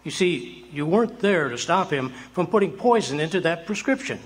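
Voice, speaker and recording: A man playing a gentle, very old but childlike angel in a live radio drama. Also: on the high side, animated, moderate pace, slightly rough. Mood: gently explaining. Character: gentle, childlike, nearly 300-year-old male angel, earnest and cheery. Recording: live stage recording, faint room noise.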